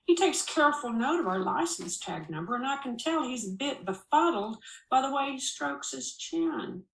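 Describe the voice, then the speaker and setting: A woman reading a first-person memoir aloud as an expressive storyteller, with a dramatic, wry delivery. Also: high-pitched, normal speed, creaky, distant mic. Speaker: narrator reading a memoir chapter. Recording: roomy, echoing voice recording.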